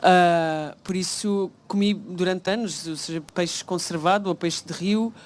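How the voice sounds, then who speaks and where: High-voiced Portuguese panel moderator. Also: male voice. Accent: European Portuguese. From Baixo Alentejo, Portugal. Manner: quick, thinks aloud with many "ah" fillers.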